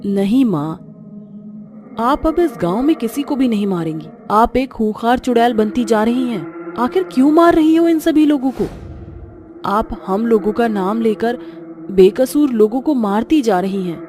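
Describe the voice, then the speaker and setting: A woman's voice: fairly high pitch, expressive, unhurried, dark tone, faint music behind. A woman voicing the upset young daughter of a witch in a horror story, speaking in an earnest, pleading manner. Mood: reproachful.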